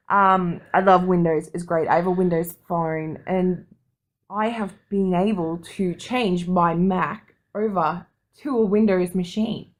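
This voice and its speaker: A woman co-hosting a podcast, talking casually and candidly with the odd swear word. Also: fairly low pitch. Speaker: podcast co-host. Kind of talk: casual chat.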